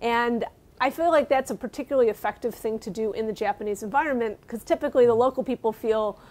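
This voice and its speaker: Rich: A middle-aged woman with a somewhat high voice, speaking as a guest consultant in a studio chat. She offers practical advice in an easy, agreeable way, clipped with a lapel mic. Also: fast. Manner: warm agreeing, laughs easily, practical advice. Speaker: intercultural business consultant, guest. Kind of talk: casual studio interview. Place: small studio set, seated on a sofa.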